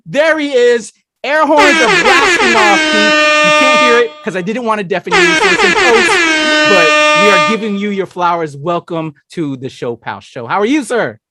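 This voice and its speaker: A man hosting a podcast interview over a video call, with hype-man energy and loose, chatty shout-outs. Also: extremely high pitch, sing-song, moderate pace, over loud music. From United States. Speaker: podcast host. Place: home room full of toys and collectibles.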